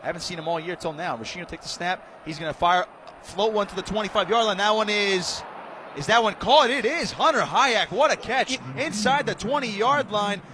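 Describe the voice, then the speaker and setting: High-voiced American man calling football play-by-play. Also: normal speed. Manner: excitable, clipped running description of play. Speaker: play-by-play radio announcer. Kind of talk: live American football radio commentary. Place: press box high above a stadium. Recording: loud stadium noise, outdoor broadcast.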